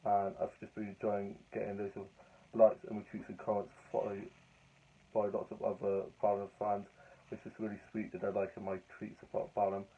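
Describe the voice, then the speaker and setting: A young man with a hoarse, croaky voice talks on and on in a cheerful, rambling way. His voice sits low and flat, with little rise and fall. The sound is thin and tinny, like a phone recording. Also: fairly quick. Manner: chatty, rambling, upbeat. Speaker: vlogger. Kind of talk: casual vlog chat.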